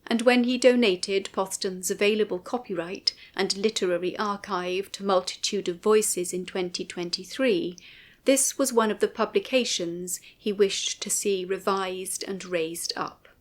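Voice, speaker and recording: Measured woman reading formal narration. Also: mid-range voice. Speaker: narrator and writer of an audio commentary. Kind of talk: scripted documentary narration.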